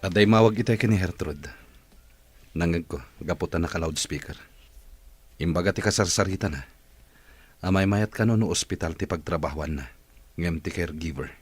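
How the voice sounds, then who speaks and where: An actor playing a gloomy, husky-voiced male employer in a radio drama. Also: sits low, moderate pace, pauses often, no echo, faint music behind.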